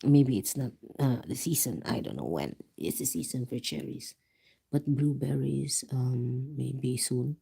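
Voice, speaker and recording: A host from the Philippines with a Filipino accent, chatting casually and quietly on a livestream from home. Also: medium pitch, animated, measured pace, creaky, breathy edge. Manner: speaking softly and quietly to avoid disturbing neighbors. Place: home, indoors.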